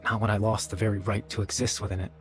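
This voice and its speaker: An actor playing a gentle, weary young man in a video game, speaking in a soft, measured, wistful way. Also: fairly low pitch, little pitch movement, faint music behind, lightly acted. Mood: resigned, weary. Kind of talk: dramatic video game dialogue.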